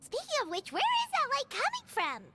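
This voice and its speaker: Video game fairy companion: very high, squeaky, childlike, bouncy intonation, excitable and curious. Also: female voice, fairly quick, heavy background noise, heavily acted. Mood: wide-eyed, curious. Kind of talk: English video game voice acting.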